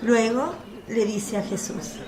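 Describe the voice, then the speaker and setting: A woman's voice: a little high, takes it slowly, crowd noise. A woman reading scripted story narration as the narrator of a religious radio play.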